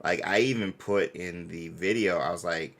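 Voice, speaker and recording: A man's voice: sits low, moderate pace, creaky, fairly bright tone. A man hosting a gaming fan channel, chatting casually and effusively in slangy, bro-heavy speech. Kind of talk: casual live-stream chat.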